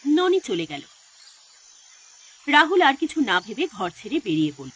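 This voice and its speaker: Expressive high-voiced woman telling horror stories. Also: moderate pace, slightly rough. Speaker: narrator of an animated horror story. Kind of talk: scripted, dramatic storytelling narration. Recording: music behind the voice.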